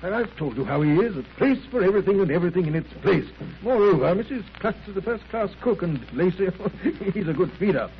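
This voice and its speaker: An actor playing a polite, flustered gentleman scientist in a 1930s radio drama, hesitant, apologetic and eager to please. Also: high voice, expressive, a little fast. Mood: chatty, obliging. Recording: muffled, noisy 1930s radio recording.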